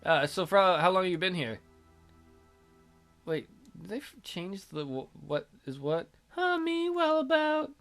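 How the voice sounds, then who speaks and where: A man's voice: fairly high pitch, normal speed, long pauses, a bit bright, soft background music. A man reading a visual novel aloud theatrically as a let's play host, with casual, jokey asides.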